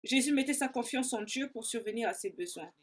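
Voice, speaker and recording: A woman's voice: a little high, lively intonation, fairly quick. A woman reading a translated sermon as a voice-over, with earnest, emphatic preaching delivery. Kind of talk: sermon dubbed and read in French.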